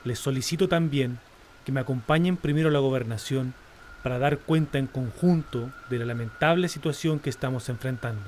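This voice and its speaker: An actor playing a grave official who addresses survivors formally and solemnly in a radio drama. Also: male voice, medium pitch, darkish tone, slight background noise, lightly acted. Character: grave male official appealing to shaken survivors.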